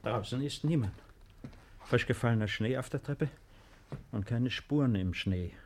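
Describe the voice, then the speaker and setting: An actor playing a calm, dismissive husband in a 1950s German radio play, speaking in a measured, matter-of-fact way. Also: male voice, medium pitch, noisy background, lightly acted. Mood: dry, calm. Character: calm, dismissive husband reassuring a frightened woman. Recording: 1950s radio drama recording.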